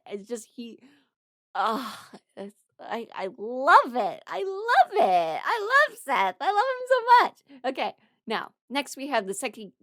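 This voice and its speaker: A woman's voice: fairly high pitch, fairly bright tone, no echo, studio quality. A woman rambling casually as a fan, gushing and emphatic, with dramatic exclamations and casual swearing. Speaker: voice content creator ranking characters.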